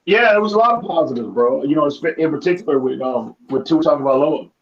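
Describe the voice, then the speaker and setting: Hoarse man breaking down football film. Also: a little high, brisk, dull audio. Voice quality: raspy. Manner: animated, analytical, many restarts. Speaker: football analyst guest. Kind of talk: casual sports talk.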